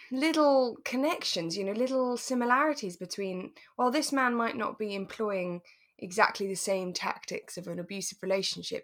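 A woman co-hosting a relaxed podcast about a radio drama, giggly and emphatic in casual conversation. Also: mid-range voice. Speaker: podcast co-host.

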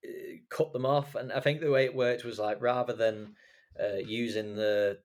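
A man's voice: medium pitch, steady tone, moderate pace, darkish tone. A young man from England hosting a podcast, chatting casually and rambling with lots of fillers and restarts. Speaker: podcast host. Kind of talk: casual chat.

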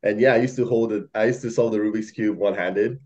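A man's voice: lowish voice, fairly even intonation, quick pace, a little mellow, highs cut off. A young man who grew up in Malaysia, chatting casually as a podcast guest, rambling with many fillers and laughs. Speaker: podcast guest, algo trader. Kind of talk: casual chat.

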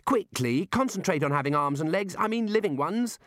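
A man's voice: high voice, expressive, brisk, fairly bright tone, dry recording, clean studio sound, voice pushed up. A man voicing an anxious, earnest young wizard in a storybook, fussy and insistent as he explains. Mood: urgent.

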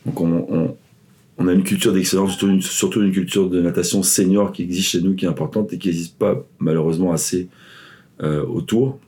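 Guy in his 40s, swim coach guest, really low mellow voice, lots of euh and restarts. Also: fairly even intonation, brisk. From Ivory Coast, raised in Paris. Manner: rambling, many fillers and restarts. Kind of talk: casual interview chat.

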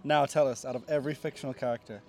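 A man's voice: medium pitch, fairly even intonation, rapid-fire, a little mellow, soft background music. A young man hosting a podcast in a casual, playful, wry interview style. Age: young adult. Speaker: podcast host.

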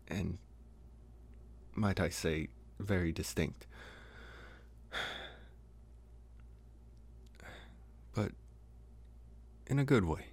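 A man playing a smitten young college vampire in an intimate, hesitant, soft-spoken ASMR roleplay. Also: low-pitched, creaky, breathy edge, full character voice. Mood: intrigued, intimate. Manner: hesitant, soft-spoken, slow with long pauses. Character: young male college vampire, smitten, calm, gently possessive. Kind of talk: ASMR roleplay, intimate one-sided dialogue.

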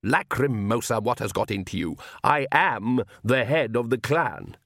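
A man's voice: medium pitch, unhurried, a bit bright, no echo, clean studio sound. A man voicing a haughty, exasperated male vampire patriarch in an audiobook, with a pompous, formal manner. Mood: indignant.

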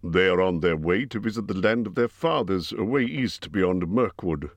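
Man playing an old wizard, low and calm, slyly tactful, dry close sound. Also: normal speed, no echo.

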